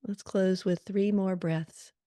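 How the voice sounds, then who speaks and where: A woman leading a guided meditation prayer in a calm, soothing manner, leaving gentle pauses between short phrases. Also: medium pitch, dry recording.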